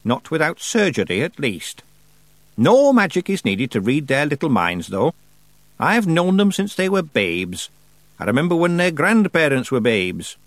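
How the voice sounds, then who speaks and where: Storybook: a man doing a very old sly witch woman, raised pitch, slow lofty drawl, very dry close sound. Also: very animated, dry recording. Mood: scornful. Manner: slow, drawn-out, lofty delivery. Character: ancient stern female witch, sly and dry-humored.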